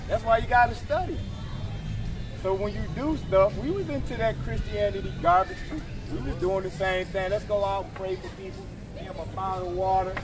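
A man's voice: high-pitched, fairly quick, over music, poor audio. An American man preaching on the street in a confrontational, argumentative way, insisting on scripture and calling for readings. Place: outdoor waterfront brick plaza. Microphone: camera a few meters away.

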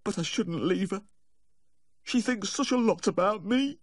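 A man's voice: fairly high pitch, huge pitch swings, normal speed, no echo, clean studio sound, voice pushed up. A man voicing a tearful, fretful little Yorkshireman, a doting, tipsy dog owner, in a quavering audiobook character voice. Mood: guilty, tearful. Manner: wailing, quavering, gasping.

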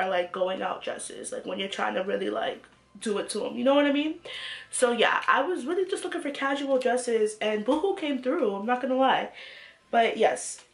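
Young woman, congested with a cold, gushing haul vlog chat, mid-pitched, echoey small room. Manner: chatty, gushing, lots of "like". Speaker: fashion haul vlogger. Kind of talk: casual haul chat to camera. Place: small room, glittery backdrop.

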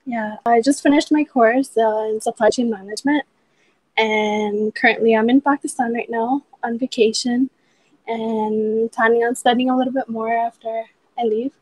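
A woman's voice: fairly high pitch, fairly even intonation, moderate pace, a little mellow, no echo, rough recording. A woman in her early twenties from Canada introducing herself casually, hesitant and with fillers. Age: 20s. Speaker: podcast guest. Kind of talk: self-introduction.

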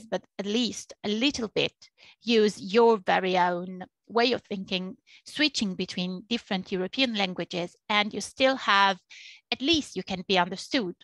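Woman, Italian accent, slightly gravelly voice, earnest explaining tone, dry close headset sound, video call webinar. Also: medium pitch, a bit bright, dry recording. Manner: earnest, explaining, repeats points for emphasis. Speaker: interpreter and Chinese interpreting teacher. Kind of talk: webinar panel talk. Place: small home office.

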